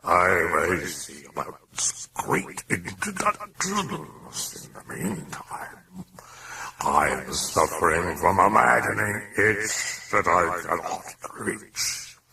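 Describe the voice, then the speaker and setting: A man voicing a sly, taunting, grandiose demon in a croaking, breathy rasp, drawn out with mock gentility for an audiobook. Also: low voice, very animated, bright, forceful tone, distant mic, slight background noise, heavily acted. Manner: drawn-out, mock-genteel, theatrical delivery. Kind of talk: dramatic audiobook character voice.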